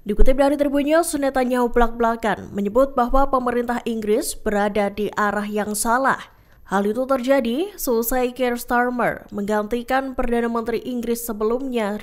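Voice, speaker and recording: News voice-over: woman, slightly high pitch, formal and measured scripted read. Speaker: news narrator.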